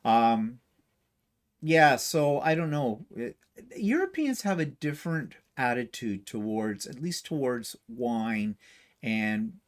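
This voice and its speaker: A man's voice: mid-range voice, sing-song, slow pace. A man co-hosting a casual livestream, reading viewer comments aloud and answering with chuckling asides. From Canada. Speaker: livestream co-host.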